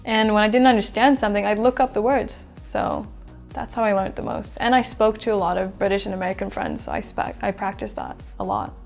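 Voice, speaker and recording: A woman in her twenties from Sweden, an English teacher answering interview questions in a relaxed, chatty way. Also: medium pitch, fairly quick, vocal fry, faint music behind. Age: 20s. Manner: relaxed, chatty, thinks aloud with fillers.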